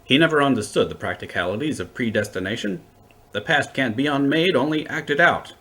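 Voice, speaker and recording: A man's voice: medium pitch, fairly bright tone, natural voice. A man playing a wry, unflappable time-travelling adventurer with a dry, wordy, flippant way of talking, in an audio drama.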